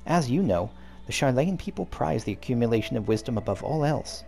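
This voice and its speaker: A man reading aloud the lines of a calm, learned young female scholar guide from a game, in a measured, formal way. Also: mid-range voice, vocal fry, soft background music.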